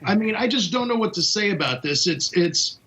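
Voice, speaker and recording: Male American panel guest, forceful bright tone, casual opinions, slightly noisy feed. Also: high-pitched, animated, brisk.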